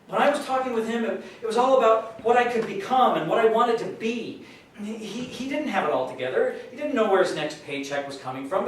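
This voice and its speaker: Echoey, distant man acting an earnest youth worker. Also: sits high. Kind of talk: staged reading monologue. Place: live staged reading in a large room. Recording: very echoey hall, distant mic, faint noise.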